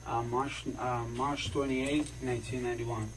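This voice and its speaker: A man in his twenties with a Cuban accent, a native Spanish speaker, giving halting, informal short answers in a police interview. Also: medium pitch, level tone. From Cuba. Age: 20s. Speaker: suspect giving a statement. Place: indoor police interview room. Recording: noisy distant interrogation room recording.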